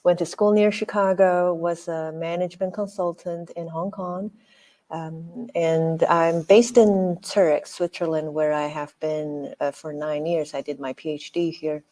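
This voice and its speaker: A woman from Taiwan giving a measured, matter-of-fact self-introduction as a panelist on a video call. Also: sits low, little pitch movement, mellow. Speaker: panelist, angel investor and founder.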